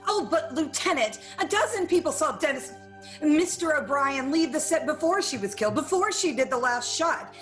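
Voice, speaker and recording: A woman's voice: high voice, expressive, normal speed, fairly bright tone, soft background music. A woman playing a secretive studio employee in a 1940s radio noir, narrating in a confessional way. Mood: pleading, anxious. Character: scheming young female studio employee, secretly complicit in murder.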